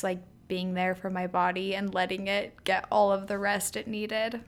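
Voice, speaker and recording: A woman in her thirties hosting a solo podcast, chatting casually with lots of ums and likes, her voice a little nasal from a healing throat. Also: medium pitch. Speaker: podcast host.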